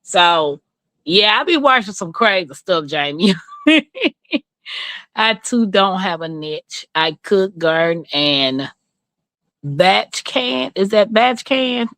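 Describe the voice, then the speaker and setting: Southern lady chatting on a livestream, slow talker, bright voice, pretty dry sound. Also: medium pitch, sing-song, dry recording. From Mississippi, rural southern United States. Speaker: livestream host. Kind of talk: casual livestream chat answering viewers.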